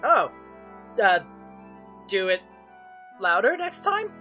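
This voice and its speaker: Woman acting a wry, animated young male tactician. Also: medium pitch, takes it slowly, soft background music, full character voice. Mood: startled, sheepish. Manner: sarcastic, casual, expressive. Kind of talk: fantasy audio drama acting.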